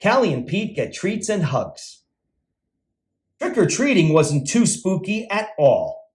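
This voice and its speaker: Male children's storyteller, hugely expressive intonation, measured and slow reading. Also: high-pitched. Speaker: children's entertainer and read-aloud host. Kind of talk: animated storybook narration for children.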